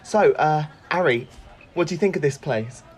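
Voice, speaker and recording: A man's voice: on the high side, lively intonation, moderate pace, natural voice. An actor playing an eager, bookish young man in an audio drama, chatty and a little distracted. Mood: cheerful. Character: eager, bookish young man who believes in fairy tales.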